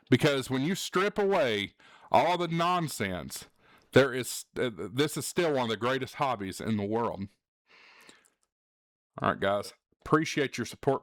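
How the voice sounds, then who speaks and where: A man's voice: medium pitch, fairly bright tone, no echo. Earnest man with a dry, close sound. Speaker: YouTube channel host. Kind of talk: candid advice vlog to viewers.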